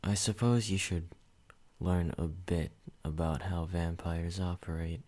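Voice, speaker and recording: A man playing a slow, deep-voiced vampire. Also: fairly even intonation, dark tone. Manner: slow, low and measured, addressing the listener. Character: calm possessive male vampire host. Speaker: ASMR roleplay creator. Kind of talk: ASMR roleplay monologue.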